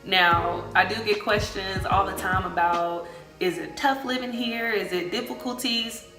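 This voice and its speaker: A woman's voice: medium pitch, fairly even intonation, measured pace. An American woman in her late twenties chatting casually and expressively to viewers as a travel vlogger, in colloquial language. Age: late 20s. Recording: echoey room, music underneath.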